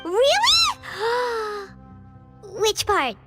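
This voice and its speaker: A woman voicing a small, excitable, childlike fairy companion in a video game, with a squeaky voice. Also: drawn-out words, exaggerated voice. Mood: surprised. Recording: loud background music.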